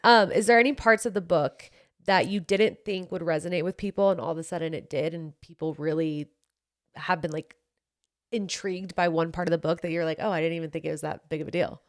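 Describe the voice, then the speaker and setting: A woman hosting a relaxed interview conversation. She asks friendly follow-up questions and chimes in often with quick agreement. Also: fairly low pitch. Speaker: podcast host. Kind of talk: casual interview chat.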